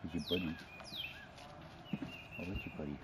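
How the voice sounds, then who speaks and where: A middle-aged man filming a family camping trip in the woods, joking and teasing in a low, offhand way into a handheld camera. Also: fairly even intonation, moderate pace. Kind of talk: casual home video chat. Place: outdoors in a wooded campground. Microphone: handheld camera held close. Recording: outdoor camcorder noise.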